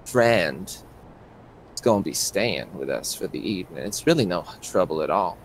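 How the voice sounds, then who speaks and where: A man's voice: deep voice, lively intonation, normal speed, slight background noise, voice pushed down, rough recording. A man as a honeyed, folksy hostess. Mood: sweetly insistent. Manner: honeyed, folksy, gently insistent. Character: sweetly insistent, folksy small-town hostess woman.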